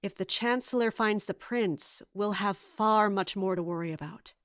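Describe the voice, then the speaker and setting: A woman's voice: medium pitch, fairly bright tone, no room sound, studio quality, natural voice. A woman playing a resolute, guarded guard captain, speaking formally and narrating in a fantasy audio drama. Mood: scheming, decisive. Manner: formal, measured, commanding.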